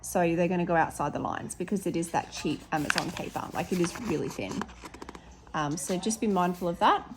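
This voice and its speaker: An Australian woman, a teacher with a slightly hoarse voice, chatting casually with lots of ums as she shows her colouring pages. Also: on the low side, fairly quick, background noise. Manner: chatty, many ums, corrects herself. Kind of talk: casual flip-through chat.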